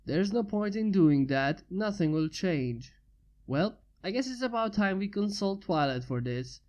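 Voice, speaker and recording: A fanfiction reader voicing a blunt, gruff inventor who has become a father. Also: medium pitch, expressive, a little slow, a little mellow, dull audio, close to an everyday voice.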